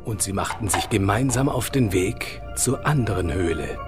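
A man narrating a radio play, telling the story in a calm, plain way. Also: fairly low pitch, moderate pace. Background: loud music.

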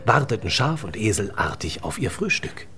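A man narrating a radio story in a measured, read-aloud storybook manner. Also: lowish voice, sing-song, background noise.

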